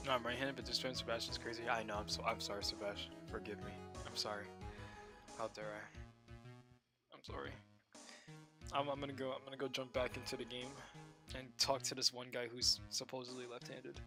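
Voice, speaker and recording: A young man streaming a video game, joking and excitable, swinging between loud outbursts and low muttering. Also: moderate pace, music in the background. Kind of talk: casual gameplay commentary.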